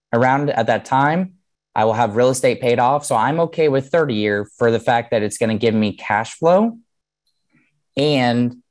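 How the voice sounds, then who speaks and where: Man in his thirties teaching over a video call. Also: medium pitch. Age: 30s. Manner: conversational, explanatory, with frequent "um" and "right?". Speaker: mortgage lender teaching a webinar. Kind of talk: casual online seminar.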